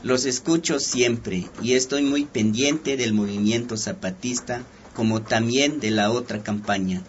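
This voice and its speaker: A man radio announcer reading listener messages aloud in a calm, even way. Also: mid-range voice, a little slow. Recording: dull lo-fi radio with faint hiss.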